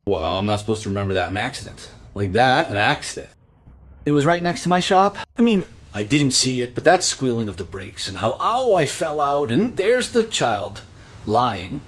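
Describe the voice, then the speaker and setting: An actor playing a gossipy tobacco shop keeper who rambles colloquially in a crime radio play. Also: male voice, medium pitch, big pitch swings, normal speed. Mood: gossipy, animated. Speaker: voice actor in a crime audio drama.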